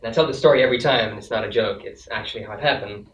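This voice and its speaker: A young man tells his story in a relaxed, wry way, pausing on fillers. He sounds far from the mic, dull and echoey. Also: mid-range voice. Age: young adult. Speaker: student. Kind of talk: casual panel chat.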